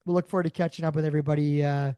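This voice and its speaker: A man with a raspy voice, co-hosting a podcast, rambling through casual chat. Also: a little high, level tone, rapid-fire, no room sound. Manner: rambling, warm storytelling. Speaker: podcast co-host.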